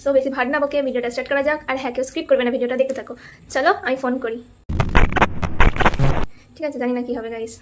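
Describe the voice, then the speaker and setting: Fast, high-pitched woman, teasing. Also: fairly even intonation. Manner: teasing, insistent. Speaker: prank call video host. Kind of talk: casual prank call chat. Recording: clearly noisy background.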